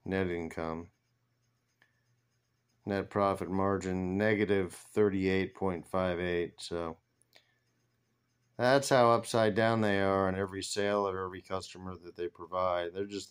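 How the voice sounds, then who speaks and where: A man with a fairly deep voice and a smooth, clear tone. He comments on company finances in an informal, rambling way, as if reading a screen and working out his thoughts aloud. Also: steady tone, measured pace. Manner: rambling, thinking aloud while reading figures. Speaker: stock commentator.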